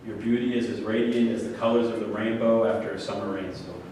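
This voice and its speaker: A man's voice: on the low side, flat delivery, quick pace, a little mellow, close to an everyday voice. An actor playing a delusional, manipulative murderer obsessed with a woman, declaiming in a grandiose, preachy way in a stage play reading. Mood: seductive, intense. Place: large room at a staged reading. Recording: very echoey room, far mic, faint noise.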